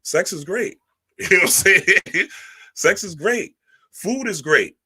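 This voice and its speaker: Emphatic, bright-voiced male spiritual speaker. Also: high voice, lively intonation, takes it slowly, breathy edge. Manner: emphatic, preachy, keeps asking "right?"; streetwise slang, swears casually. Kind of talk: casual spiritual monologue.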